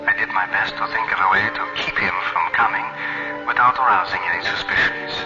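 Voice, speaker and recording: A man playing a grave doctor who voices a warning letter in a formal, ominous, deliberate radio drama manner. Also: low voice, lightly acted. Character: grave, cautioning male doctor reading out his warning letter. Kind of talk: old-time radio drama acting. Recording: muffled old radio broadcast, music underneath.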